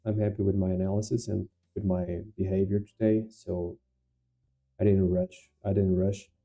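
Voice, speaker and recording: A man's voice: low-pitched, little pitch movement, normal speed, warm tone. A man with a Portuguese accent, talking through his live trading session and chatting with viewers, hesitant, with many repeated words and fillers. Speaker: day trader livestreaming to viewers. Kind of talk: live stream commentary and viewer chat.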